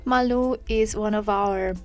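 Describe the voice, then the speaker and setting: A woman's voice: mid-range voice, steady tone, unhurried, faint music behind. A woman hosting a web radio interview, playful and giggly in her questions. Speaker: radio host.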